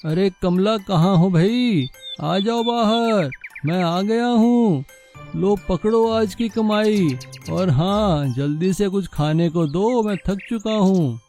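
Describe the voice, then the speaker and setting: A tired, cheery street vendor. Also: male voice, sits high, slow, dark tone, faint music behind. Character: tired poor street-snack seller husband.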